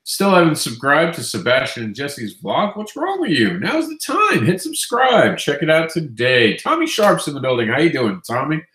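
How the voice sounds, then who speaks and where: A man's voice: on the high side, wildly swooping pitch, moderate pace, few pauses, bright, forceful tone. Congested nasal man in an echoey room. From Queens, New York. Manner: chatty, upbeat, self-correcting. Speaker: comics news host. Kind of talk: casual news roundup.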